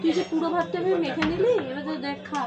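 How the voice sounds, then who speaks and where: Loud restaurant crowd fills the background. A woman with a high voice speaks fast and briskly, urging the family to eat up. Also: lo-fi sound. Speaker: family member at the meal. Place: busy restaurant, indoors. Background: crowded restaurant chatter and dishes.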